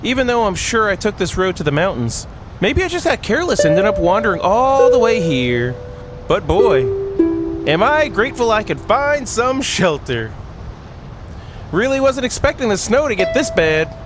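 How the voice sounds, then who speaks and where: A man's voice: sits high, sing-song, moderate pace, over music. A man voicing a polite, grateful young stranger in a visual novel, chatty and apologetic in manner. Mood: sheepish, grateful. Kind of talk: visual novel character reading.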